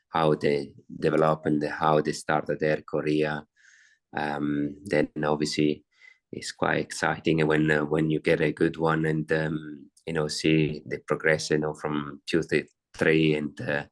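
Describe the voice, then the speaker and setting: A man's voice: a bass voice, monotone, measured pace. A middle-aged man from Italy with an Italian accent, a racehorse trainer answering interview questions with many fillers and restarts.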